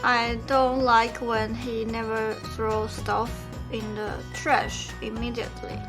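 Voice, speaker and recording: A woman's voice: fairly high pitch, little pitch movement, slow, music in the background. A young woman from Taiwan, teasing and chatting casually with her partner. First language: Mandarin Chinese. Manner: teasing, switches freely between English and Mandarin. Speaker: vlog co-host. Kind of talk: casual couple chat.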